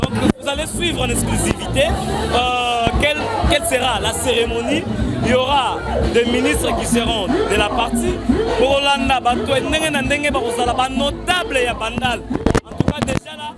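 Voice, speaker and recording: Male field reporter, high pitch, hesitant, loud festival music behind. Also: normal speed, rough recording. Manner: filler-heavy, hesitant. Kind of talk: live event coverage. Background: loud music and crowd.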